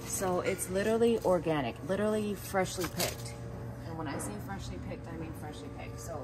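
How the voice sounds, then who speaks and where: A woman in her thirties talks to her viewers in a relaxed, upbeat, informal vlog style, filming herself on a phone held at arm's length. Her voice sits on the low side. She is recorded inside a big open-sided farm warehouse, with loud background noise and vehicles passing nearby. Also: a little fast. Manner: upbeat, chatty, informal, addresses viewers as y'all. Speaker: food business owner vlogger. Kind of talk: casual walk-and-talk vlog. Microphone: handheld phone at arm's length. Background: loud warehouse ambience and passing vehicles.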